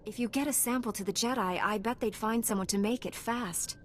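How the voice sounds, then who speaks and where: An actress playing an earnest, plucky and dry-witted young heroine in a sci-fi video game. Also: female voice, on the high side, a little fast, slight background noise, natural voice. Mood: concerned. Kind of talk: acted video game dialogue.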